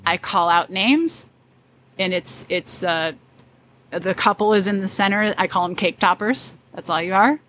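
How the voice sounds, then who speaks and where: Female guest, middle-pitched, upbeat and confident, quick laughs, light noise behind. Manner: animated, laughs often, loud confident delivery. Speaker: wedding photographer guest. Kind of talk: casual conversational interview.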